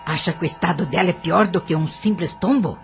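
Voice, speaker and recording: A woman playing a brisk, bossy housemaid in an audio novel, speaking bluntly. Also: on the low side, creaky, faint music behind. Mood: brisk, curious. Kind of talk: audio novel character acting.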